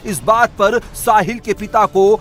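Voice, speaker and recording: A man's voice: high-pitched, slow pace. A man narrating a scripted crime news report in a dramatic, sensational, emphatic manner. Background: background music.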